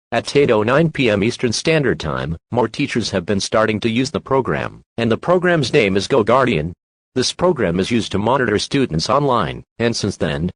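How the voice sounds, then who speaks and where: A male text-to-speech announcer reads a formal emergency alert. The voice sits fairly low and the recording is very dry, without any room sound. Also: breathy edge, dry recording. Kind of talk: scripted emergency broadcast announcement.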